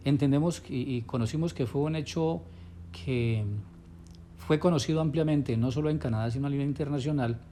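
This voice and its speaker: A man interviewing a guest for a news program, asking measured, prompting questions. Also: mid-range voice, mellow, slight background noise.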